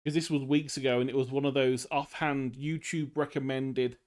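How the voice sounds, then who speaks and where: Young male streamer, mid-pitched, casual and rambling, teaches drawing. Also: flat delivery, normal speed, a little mellow. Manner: rambling, loose explanations with many fillers. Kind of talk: casual live-stream art tutorial.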